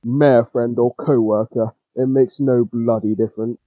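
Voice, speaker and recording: Old male pony ranger played by a man: gruff, scornful, medium pitch, dry and slightly dull recording, story audio. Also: steady tone, unhurried, no room sound, lightly acted. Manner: gruff, sardonic. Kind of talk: fan fiction audio reading, character voice.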